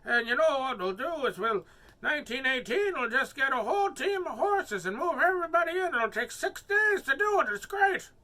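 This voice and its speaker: Old man figure, done by a man, rambling, boastful, high-pitched, lively. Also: normal speed, heavily acted. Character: creaky old man from long ago.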